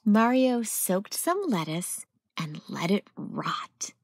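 A woman narrating a children's picture book as a read-aloud storyteller, with expressive delivery. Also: medium pitch, slow pace, slightly rough, dry recording, studio quality.

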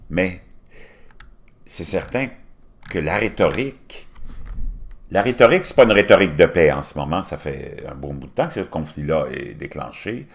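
Deep animated Québécois man. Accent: Québécois French. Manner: swings from loud emphatic bursts to low muttered asides. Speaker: commentary channel host. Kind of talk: reading and commenting news articles. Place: home studio with virtual backdrop.

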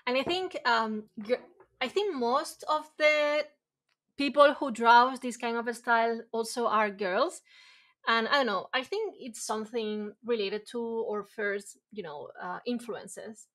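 A woman's voice: on the high side, measured pace, a bit bright. Woman chatting while she draws. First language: Spanish. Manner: rambling, many fillers and restarts, self-deprecating laughs. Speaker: artist streaming a drawing session. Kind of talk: casual chat while drawing. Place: home room, indoors.

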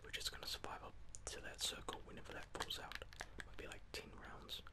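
A man whispers casual, sweary ASMR commentary as he plays a video game. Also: brisk. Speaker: gaming video host.

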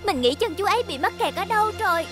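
A woman voicing a gentle, careful young ambulance robot in a dubbed children's cartoon, with an earnest, caring delivery. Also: extremely high pitch, animated, quick pace. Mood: alert. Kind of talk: dubbed children's animation acting. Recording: music behind the voice.

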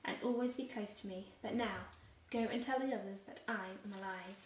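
A kind man speaks softly and gently, as a woman reads his part in a storybook. The sound is roomy and distant, with faint ambience behind. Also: medium pitch, normal speed, slight background noise, natural voice. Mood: gentle. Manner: soft and gentle.

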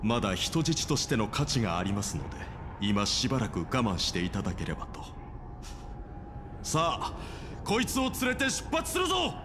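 A man's voice: medium pitch, wildly swooping pitch, normal speed, noisy background. An actor playing an obsequious male servant who speaks in very formal, deferential terms. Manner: servile, formal speech. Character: fawning, overly polite male servant to a villain. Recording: game audio with music behind.

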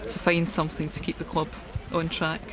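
A woman's voice: sits low, monotone, fairly quick. A middle-aged woman, talking informally in an interview as a club volunteer. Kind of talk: casual interview. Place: open-sided tent at a sports field. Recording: loud music over outdoor interview.

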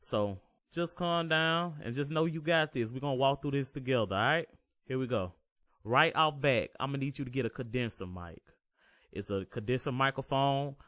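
A deep-voiced man hosting a casual home-recording tutorial as a voice-over, laid-back, reassuring and loose with profanity. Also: moderate pace, fairly bright tone, no echo.

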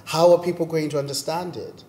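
A man from Zimbabwe, a playwright and performer, talking thoughtfully and animatedly about his work in a bright rehearsal room. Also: on the high side, steady tone, a little fast. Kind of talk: reflective conversation.

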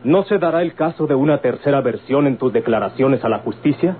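Male actor for calculating, insistent Mexican gentleman in a radio serial, mid-range voice, narrow old-radio sound. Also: medium pitch, lively intonation, a little fast, lightly acted. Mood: stern, pressing. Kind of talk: golden-age Mexican radio drama acting. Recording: tinny, noisy old radio recording.

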